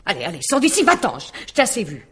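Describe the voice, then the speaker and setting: An actress playing a weary, alarmed astrologer and bitter divorcée, hesitant and sighing, in 1950s French radio drama style. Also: female voice, medium pitch, wildly swooping pitch, very fast, a bit bright, husky, heavily acted. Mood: exasperated, alarmed.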